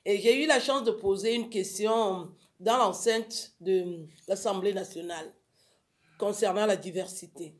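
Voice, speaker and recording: Woman, animated, exclamatory, casual radio guest, storytelling. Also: medium pitch, a little slow. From Africa. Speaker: guest, writer on violence against women. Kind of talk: casual radio interview chat.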